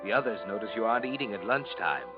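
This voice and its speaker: A man's voice: fairly low pitch, lively intonation, fast, natural voice. An actor playing a sinister, taunting narrator in a 1940s radio mystery, insinuating as he addresses a guilty man. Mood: taunting, ominous. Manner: insinuating, mocking, addressing the character as you. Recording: muffled old radio broadcast, organ-style music. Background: loud dramatic music.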